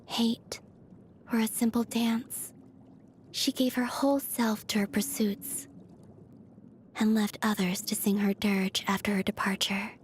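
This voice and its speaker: A woman playing a gentle, wistful young moon maiden in video game voice lines, soft-spoken, breathy and measured, telling stories with otherworldly calm. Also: medium pitch, a little hiss or hum, lightly acted. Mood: solemn, reflective. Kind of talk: video game character voice lines, storytelling monologue.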